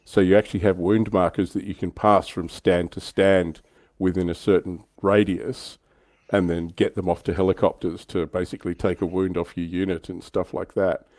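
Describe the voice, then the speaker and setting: A man's voice: deep voice, little pitch movement, moderate pace, darkish tone, no echo. A man with an Australian accent hosting a livestream in a casual hobby discussion. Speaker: livestream host.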